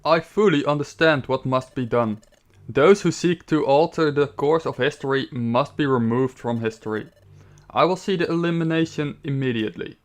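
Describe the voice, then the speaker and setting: A man's voice: medium pitch, normal speed, natural voice. A man doing a solemn shadow creature servant. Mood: grave. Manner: stiff, solemn reading.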